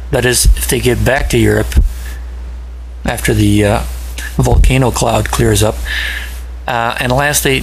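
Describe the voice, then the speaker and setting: A man whose first language is Polish leaves a friendly, casual voice message for a podcast and pauses often with fillers. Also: mid-range voice, slight background noise, low-quality recording. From Poland. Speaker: listener leaving a voicemail.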